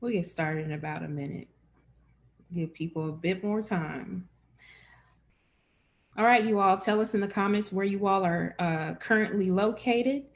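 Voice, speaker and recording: A woman's voice: medium pitch, unhurried, pauses often. A woman hosting a live-streamed information session as enrollment director, friendly and chatty with many fillers.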